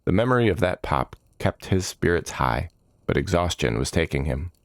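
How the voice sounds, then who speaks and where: A man narrating a scripted fantasy audiobook as storyteller, measured and dramatic in his delivery. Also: low voice, animated, no echo, studio quality.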